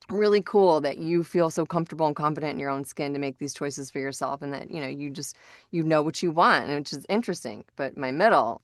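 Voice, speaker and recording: A woman's voice: lowish voice, no echo, studio quality. A middle-aged woman hosting a podcast in a casual, rambling, informal way. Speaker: podcast host.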